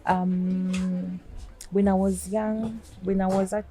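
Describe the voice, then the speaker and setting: A woman's voice: mid-range voice, little pitch movement, slow. A young woman with a Kenyan accent, a chef telling her story in a casual interview and slipping in Swahili phrases, laughing often. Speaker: chef guest.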